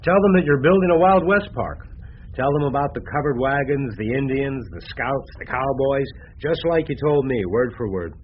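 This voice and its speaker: An actor playing a cool, confident younger schemer in a radio drama, speaking in clipped, assured phrases. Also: male voice, medium pitch, lively intonation, moderate pace, natural voice. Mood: cool, commanding. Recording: muffled radio broadcast, faint noise.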